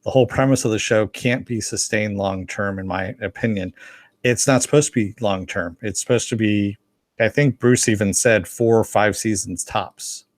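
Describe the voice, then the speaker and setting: A man's voice: lowish voice, moderate pace, dry recording. A man co-hosting a casual discussion and responding to comments. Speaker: co-host. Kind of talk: casual chat, reading viewer comments.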